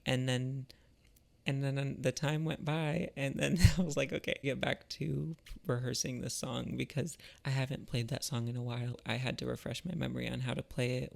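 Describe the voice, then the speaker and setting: A man's voice: mid-range voice, gravelly, a little mellow. A man talking casually and reflectively about creative work, thoughtful and informal, searching for his words as he goes. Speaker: musician and artist, video creator.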